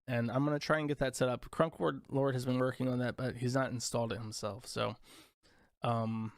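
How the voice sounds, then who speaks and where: A man's voice: mid-range voice, flat delivery, brisk, no room sound, studio quality. Crude, sarcastic young man streaming. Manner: rambling, crude, sarcastic, reading chat aloud. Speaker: livestream host. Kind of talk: casual livestream chat.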